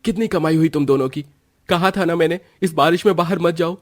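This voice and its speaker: A man's voice: on the high side, fairly quick, no echo, studio quality, pitched above the performer's own voice, natural voice. A man playing an irritable, worrying young village husband in a cartoon story, argumentative and exasperated. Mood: angry, worried. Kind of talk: Hindi cartoon story dialogue.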